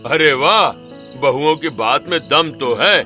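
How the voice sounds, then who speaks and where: A man's voice: fairly high pitch, lively intonation, slow, sharp tone, faint music behind, heavily acted. A man voicing a kindly, thoughtful elderly father of grown sons in a cartoon story. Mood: approving. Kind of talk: Hindi cartoon story dialogue.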